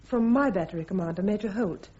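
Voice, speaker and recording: A woman's voice: mid-range voice, fast talker, warm tone, lightly acted. Woman acting british female army officer on the witness stand, clipped earnest respectful, radio play, bit dull sounding. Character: loyal, forthright young female army captain giving testimony. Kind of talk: radio courtroom drama acting. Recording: slightly dull radio drama recording.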